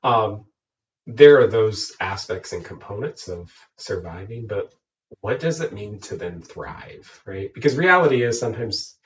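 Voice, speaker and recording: A man's voice: fairly low pitch, lively intonation, a little slow, far from the mic. A man co-hosting a podcast, naturally nasal and more so today from a head cold, joking and teasing in casual chat. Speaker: podcast co-host. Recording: dull lo-fi sound from off mic, faint hiss.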